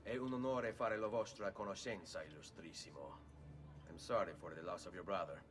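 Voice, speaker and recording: An actor voicing a composed young male assassin, calm and measured. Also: deep voice. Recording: game audio with ambient noise underneath.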